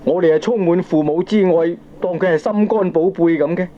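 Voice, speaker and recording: A man's voice: sits high, brisk, lightly acted. An actor with a Hong Kong accent playing a doting, aggrieved middle-aged father, deferential and questioning, in a radio drama. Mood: aggrieved, baffled. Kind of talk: Cantonese radio drama acting. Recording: dull, slightly noisy old cassette recording.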